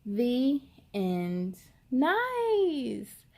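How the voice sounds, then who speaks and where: A woman's voice: sits high, wildly swooping pitch, drawn-out words, darkish tone. A woman hosting a children's story time, reading aloud expressively and talking encouragingly straight to young listeners.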